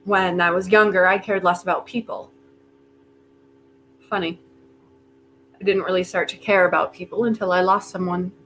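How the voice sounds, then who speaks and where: A woman's voice: on the low side, fairly even intonation, normal speed, pauses often, a bit bright, a little hiss or hum, close to an everyday voice, low-quality recording. A woman in a staged play reading, playing an arrogant, defiant inventor with a sardonic, theatrical manner. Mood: regretful, bitter. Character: arrogant, brilliant, defiant inventor bargaining with Death.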